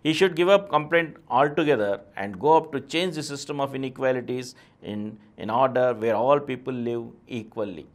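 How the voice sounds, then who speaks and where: A man's voice: medium pitch, moderate pace, few pauses. Formal middle-aged Indian-accented man reading. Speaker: presenter reading answers aloud. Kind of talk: scripted reading of a question-and-answer essay.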